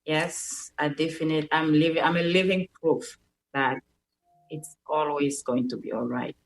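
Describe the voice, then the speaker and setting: A woman's voice: deep voice, fairly even intonation, normal speed, poor audio. A young woman from Cameroon with a Cameroonian French accent, a native French speaker, earnestly telling a personal story as a radio show guest and repeating words as she searches for them.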